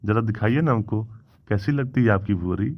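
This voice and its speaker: A man playing a self-assured, officious village police inspector in a comedy cartoon, with a brisk, questioning manner. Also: lowish voice, no room sound, close to an everyday voice. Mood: sympathetic. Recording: dull, dry lo-fi audio.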